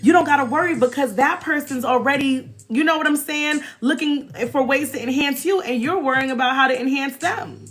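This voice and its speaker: An American woman in her forties hosting a casual, chatty livestream with animated, emphatic delivery and informal slang. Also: sits high, brisk, few pauses. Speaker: livestream host. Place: small indoor room, backdrop behind. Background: faint music.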